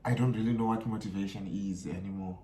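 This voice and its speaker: A man's voice: lowish voice, steady tone, fast, dark tone, a little hiss or hum. A young man from Zimbabwe, a student guest telling stories in a casual interview, laughing often and talking animatedly with restarts. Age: young adult. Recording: echoey room.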